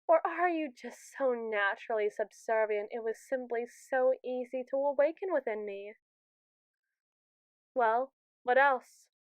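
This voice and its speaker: A woman's voice: on the high side, clear, resonant tone, no room sound, narrow, tinny audio, natural voice. A woman playing a smug, sassy, domineering female robot AI in an ASMR roleplay monologue, theatrical and wordy as she teases the listener. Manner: sassy, theatrical, verbose and teasing.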